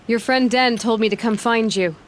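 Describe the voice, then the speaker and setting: A woman's voice: fairly high pitch, fairly even intonation, moderate pace, lightly acted. Plain-spoken young huntress played by a woman. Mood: businesslike. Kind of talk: video game dialogue acting.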